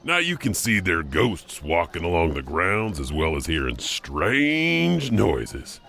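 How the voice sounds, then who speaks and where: Low-voiced man narrating spooky tales. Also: wildly swooping pitch, takes it slowly, creaky, pushed and bright. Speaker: host and narrator. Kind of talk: scripted horror storytelling narration.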